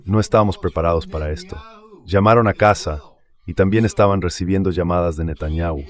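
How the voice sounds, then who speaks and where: A man with a deep voice delivers translated political commentary as an interview guest. He explains his points bluntly and with emphasis. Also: fairly quick.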